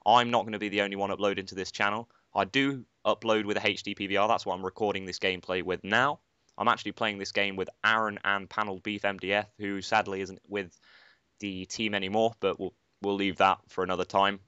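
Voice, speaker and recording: A man's voice: lowish voice, flat delivery, a little fast, bright, forceful tone, smooth, no echo, muffled recording. A teenage boy hosting a casual gaming commentary, chatty and rambling with lots of filler phrases. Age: teens. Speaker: channel host, gamer.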